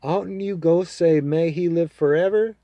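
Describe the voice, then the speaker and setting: A man's voice: on the high side, measured pace, dry recording, lo-fi sound, pitched above the performer's own voice. A man voicing an eager, polite young boy in a storybook reading.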